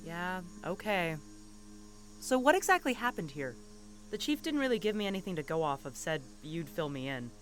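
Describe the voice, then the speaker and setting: A woman's voice: fairly low pitch, sing-song, normal speed, a little hiss or hum. A young woman playing a guarded, sardonic private investigator in an audio drama, with a dry, reluctant delivery.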